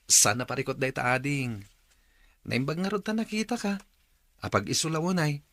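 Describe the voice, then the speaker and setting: A man's voice: a little high, expressive, moderate pace, a bit bright. An actor playing a friendly, courteous young man visiting in a radio drama, with a Filipino accent. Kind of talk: Ilocano radio drama acting.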